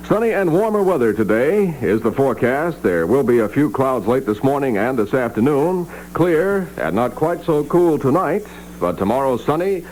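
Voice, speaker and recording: A man's voice: medium pitch, a little mellow, rough recording. A man reading scripted copy as a radio announcer in a polished, formal 1960s newscast style. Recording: 1960s AM radio aircheck, hum and hiss.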